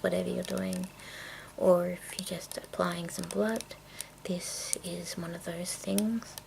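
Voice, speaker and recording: A woman making a casual ASMR video, speaking in a breathy, mostly whispered voice with a halting, rambling delivery. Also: lowish voice, low-quality recording. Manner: hushed, unhurried, halting. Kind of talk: ASMR, rambling product show-and-tell.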